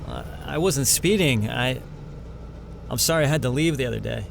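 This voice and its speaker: A man's voice: medium pitch, moderate pace, vocal fry, a little hiss or hum, natural voice. A man playing an anxious, cornered young ex-con in an audio drama, hesitant, stammering and deferential. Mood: nervous, evasive.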